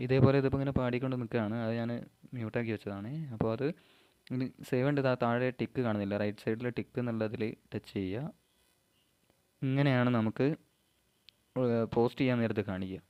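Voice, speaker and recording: A man from Kerala, giving an informal step-by-step tutorial in halting, short phrases. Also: mid-range voice, smooth, lo-fi sound. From Kerala, India. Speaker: amateur vlogger giving an app tutorial.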